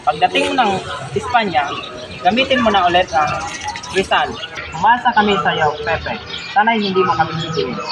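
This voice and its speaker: A man's voice: high-pitched, animated, normal speed, over loud music. A man playing an earnest, protective uncle in an amateur film drama. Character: protective, earnest uncle sending nephew abroad. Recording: noisy outdoors with birds.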